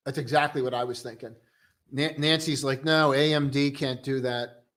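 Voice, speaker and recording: A young man casually hosting a live stock-trading stream, chatting loosely and joking with viewers in a relaxed, tired way. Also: medium pitch. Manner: loose, joking, full of restarts and "dude". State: tired, low on coffee.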